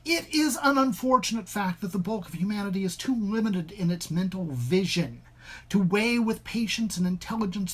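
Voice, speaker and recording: A bookish, haunted gentleman tells his own gothic tale, read aloud by a man. He speaks formally and deliberately in ornate phrases. He is a confined dreamer, defensive about his sanity, in a dramatic story reading. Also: sits high. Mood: earnest, defensive.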